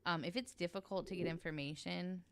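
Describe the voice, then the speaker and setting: Low-pitched woman with a clear, smooth voice. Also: fairly even intonation, fairly quick. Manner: informal, frank. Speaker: podcast panelist. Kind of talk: casual explanatory podcast talk.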